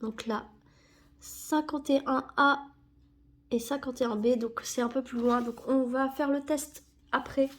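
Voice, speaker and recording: A woman's voice: high-pitched, steady tone, measured pace. Chatty young woman with a cold. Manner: rambling, self-correcting, many fillers. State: has a cold. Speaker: YouTuber opening sticker packs. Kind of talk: casual chat while sticking stickers.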